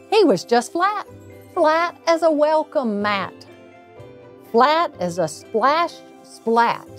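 A middle-aged woman reading a children's bedtime story aloud, with deliberate, drawn-out emphasis on phrases. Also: fairly high pitch. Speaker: storybook reader, local insurance agent.

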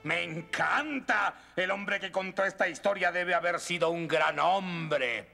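Casting: cartoon Roman emperor, very high shrill voice, vain tyrant, theatrical rants, creaky edge. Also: male voice, a little slow, heavily acted. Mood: gloating. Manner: theatrical, ranting. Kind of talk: exaggerated cartoon villain dubbing. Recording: loud music behind the voice.

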